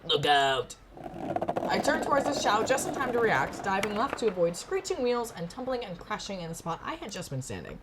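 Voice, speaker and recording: A woman's voice: mid-range voice, sing-song, fast talker, few pauses, very noisy. A woman streaming a visual novel, reading narration expressively and breaking off into playful, gushing, casual reactions.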